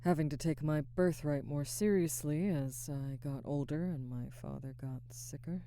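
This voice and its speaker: A young woman playing a guarded, burdened werewolf pack alpha in a soft, hesitant, intimate ASMR roleplay close to the microphone. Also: low-pitched, no echo. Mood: awkward, wistful. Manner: hesitant, soft-spoken, halting, breathy sighs. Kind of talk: ASMR audio roleplay, intimate scripted monologue. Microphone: close microphone, dry.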